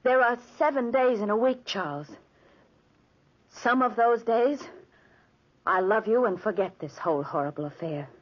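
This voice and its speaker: An actress playing a grieving, resolute woman torn between love and distrust, with deliberate melodrama in a 1940s radio play. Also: fairly high pitch, expressive. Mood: sorrowful, resolute. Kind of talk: 1940s radio drama acting. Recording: muffled old 1940s radio recording.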